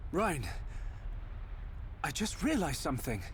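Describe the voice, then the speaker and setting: Earnest young hero, slightly high voice. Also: male voice, big pitch swings, slow pace, fairly bright tone, slight background noise, full character voice. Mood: earnest resolve. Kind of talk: video game cutscene acting. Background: faint game soundtrack music.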